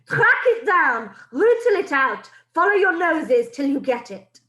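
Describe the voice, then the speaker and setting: A woman's voice: high-pitched, sing-song, normal speed, a bit bright, reverberant, voice pushed up. A woman reading aloud voices a screeching, tyrannical female witch leader who barks commands. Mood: commanding. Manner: shrieking, commanding.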